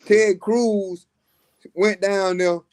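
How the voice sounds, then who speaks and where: A man with a somewhat high voice talks heatedly about politics in casual chat, swearing and stretching out his points for emphasis. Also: steady tone, a little mellow. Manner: heated, profane, drawn-out emphasis.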